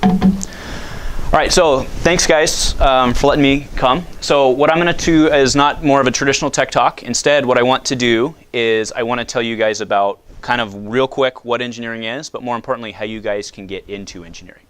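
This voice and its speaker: Loud casual man talking to a class. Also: mid-range voice, steady tone, normal speed, clean tone. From United States. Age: 30s. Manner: loud, casual, asks the audience questions. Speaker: guest speaker. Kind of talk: talk to high school students. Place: high school classroom.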